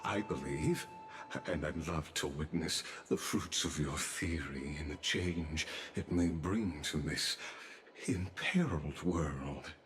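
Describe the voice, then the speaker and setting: Sly polite official in a fantasy game, really deep and slow, courtly, theatrical. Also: male voice, a bit bright, slight background noise, full character voice. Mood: silky approval. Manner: courtly, unhurried, smooth-tongued, theatrical. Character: suave, inscrutable male official, politely sly and deferential. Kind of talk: dramatic video game voice acting.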